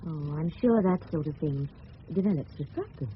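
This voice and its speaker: An actress playing a polite, gently coaxing English woman in her twenties in a radio drama. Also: low voice, expressive, normal speed. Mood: attentive, coaxing. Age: 20s. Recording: muffled noisy old radio recording.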